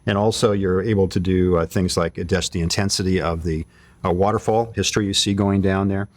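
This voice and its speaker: A man's voice: low-pitched, fairly even intonation, fairly quick, no room sound. A man giving an informal hands-on product demonstration, explaining things step by step and thinking aloud as he goes. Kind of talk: casual hands-on product demo.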